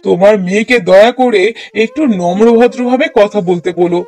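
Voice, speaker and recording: High-voiced stern cartoon father acted by a man. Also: normal speed, darkish tone. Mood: stern, exasperated. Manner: reproachful, firm, lecturing. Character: stern, fed-up middle-aged father and husband. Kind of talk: Bengali moral-story cartoon dubbing.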